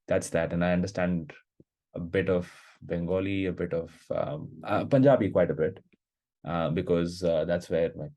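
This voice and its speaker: Deep-voiced young podcast host. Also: male voice, dark tone. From India. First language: Hindi. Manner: hesitant, many fillers and restarts while asking. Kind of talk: casual conversational interview.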